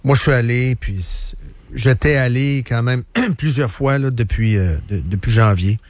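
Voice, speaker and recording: A male radio host with a Quebec French accent and a mid-pitched voice, recorded close and dry. He talks current affairs in a casual, opinionated way, slipping in English words and repeating himself for emphasis. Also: measured pace, dry recording.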